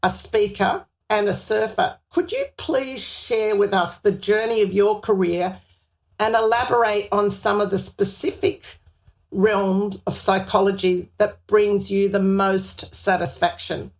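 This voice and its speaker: A woman's voice: medium pitch, a little slow. A woman hosting an interview podcast, reading a scripted guest introduction and asking questions in an upbeat, formal way. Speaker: podcast host.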